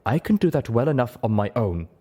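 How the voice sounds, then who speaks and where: A man's voice: medium pitch, big pitch swings, brisk, warm tone, breathy edge. Man voicing a guarded, formal zebra mare. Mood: curt. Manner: formal, stilted phrasing.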